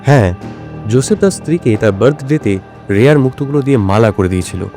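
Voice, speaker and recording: A male actor playing a shrewd, self-assured detective in an audio drama, speaking in a measured, explanatory way. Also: medium pitch, animated, warm tone, faint music behind, natural voice. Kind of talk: Bengali audio drama dialogue.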